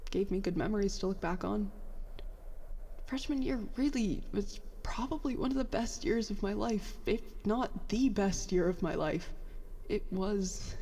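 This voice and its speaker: A woman's voice: mid-range voice, darkish tone, in a large hall, a little hiss or hum. A woman playing a bitter, self-doubting young former prodigy in an audio drama, with a sarcastic, self-deprecating, rambling way of talking. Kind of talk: audio drama dialogue, confessional monologue.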